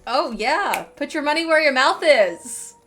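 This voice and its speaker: A woman's voice: high-pitched, lively intonation, unhurried, fairly bright tone. A woman gaming streamer giving casual, chatty commentary as a game story plays.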